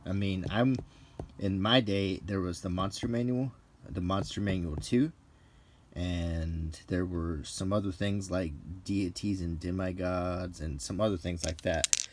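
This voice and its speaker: Middle-aged male, low-pitched, casual unscripted ASMR-like delivery, musing and pausing, noticeable background noise. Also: steady tone. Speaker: channel host. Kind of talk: unscripted casual book comparison, unintentional ASMR.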